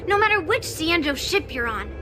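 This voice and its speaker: A woman voicing a proud, sharp-tongued young swordswoman in a game cutscene, blunt and teasing. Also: very high-pitched, sing-song, normal speed, heavily acted. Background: game score music.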